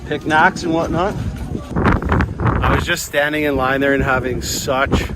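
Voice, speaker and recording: A man's voice: steady tone, unhurried. A man chatting casually outdoors for a vlog, rambling in a laid-back, slangy way. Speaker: vlogger. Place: outdoors at a ski area. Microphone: handheld or worn action camera. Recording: outdoor wind and rumbling noise.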